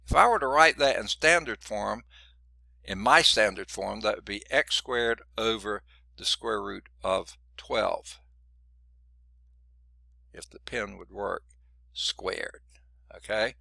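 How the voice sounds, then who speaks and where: A man's voice: fairly low pitch, slow pace, creaky, pauses often, pushed and bright, no echo. A middle-aged man teaching a college math class, chatty and digressive, sounding congested and often checking in with "okay?". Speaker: math instructor. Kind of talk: classroom lecture.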